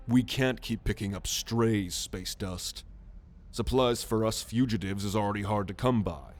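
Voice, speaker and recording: A man voicing a proud, gruff alien military renegade in an ASMR roleplay, haughty and sardonic in a measured delivery. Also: fairly low pitch, lightly acted. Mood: pragmatic, brusque. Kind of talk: ASMR roleplay monologue to the listener.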